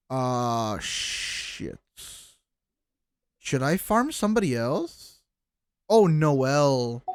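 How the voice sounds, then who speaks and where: A man's voice: medium pitch, a little mellow. A man doing casual, joking game livestream commentary, swearing now and then as he talks to his chat. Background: video game music and sounds.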